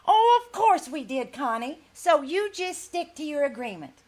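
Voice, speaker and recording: A woman's voice: high-pitched, sing-song, measured pace, darkish tone. A woman playing a fussy, guilt-tripping older landlady in a live radio comedy, sweetly martyred and wheedling. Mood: feigned innocence. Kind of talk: live old-time radio comedy acting.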